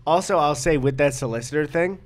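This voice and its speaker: Higher-pitched American man, casual podcast co-host. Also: brisk. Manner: profane, opinionated, rambling. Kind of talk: casual comedic chat.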